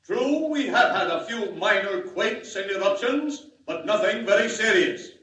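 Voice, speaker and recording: A man's voice: sits high, lively intonation, unhurried, bright, forceful tone, distant mic, full character voice. A man acting a pompous, dismissive alien council leader in a 1940s radio drama, oratorical and placating. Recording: dull, echoey 1940 radio broadcast.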